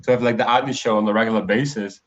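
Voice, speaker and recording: A man in his late twenties from the Netherlands, a guest on a video call, talking in a relaxed, hesitant way with many fillers. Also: lowish voice, racing. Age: 20s. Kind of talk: casual interview chat. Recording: slightly dull lo-fi stream audio.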